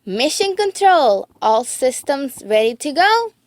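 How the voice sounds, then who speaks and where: A woman voicing an excitable, adventurous young girl in an emphatic storybook read-aloud. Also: sits high, slow, full character voice. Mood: eager.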